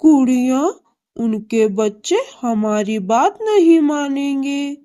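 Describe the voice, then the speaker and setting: A woman voicing a kind, sensible young boy bird in a children's cartoon, calm and measured. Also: high voice, smooth. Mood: reasoning.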